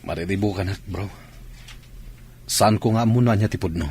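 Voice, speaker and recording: A man's voice: lowish voice, normal speed, darkish tone, slight background noise, natural voice. A man playing a troubled, wronged man in a radio drama, speaking solemnly and earnestly.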